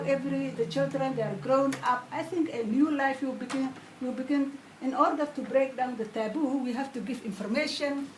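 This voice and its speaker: A woman's voice: high-pitched, echoey room, far from the mic, a little hiss or hum, poor audio. A woman from Somalia, a guest on a radio panel who talks earnestly and often restarts her phrases. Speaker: studio guest.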